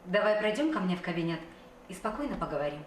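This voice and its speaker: An actress playing a stern female boss who speaks in a cool, measured way. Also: fairly low pitch, big pitch swings, background noise. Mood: cold. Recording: distant voice in echoey room.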